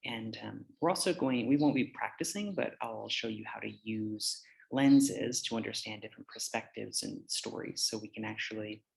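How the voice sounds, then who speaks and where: American male presenter, conversational and halting, lowish gravelly voice. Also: low-quality recording. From United States. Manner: hesitant, conversational. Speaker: teacher giving a conference presentation. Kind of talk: informal slide presentation.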